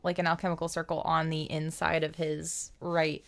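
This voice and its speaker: A woman hosting a game livestream, chatting casually in an animated, playful, rambling way. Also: deep voice, normal speed. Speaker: game streamer and host. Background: background music.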